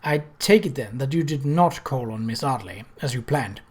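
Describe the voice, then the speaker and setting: Guy doing a calm gentleman bachelor in an old novel, mild and sober, dry humour. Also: medium pitch, moderate pace, breathy edge. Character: calm, dryly amused English gentleman bachelor.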